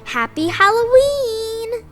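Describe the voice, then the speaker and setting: A woman reading a children's picture book aloud in an expressive, sing-song storytelling manner. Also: very high-pitched, slow pace, soft background music. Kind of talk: bedtime story read-aloud.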